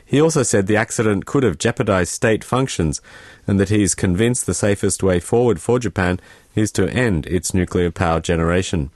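A man's voice: sits low, a little mellow, no room sound, clean studio sound. A man reading scripted news for a radio bulletin. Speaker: news reader.

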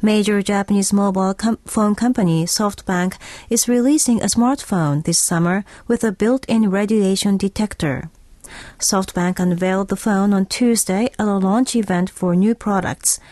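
A woman's voice: mid-range voice, moderate pace, warm tone, no room sound, clean studio sound. A woman news reader presenting a scripted radio report.

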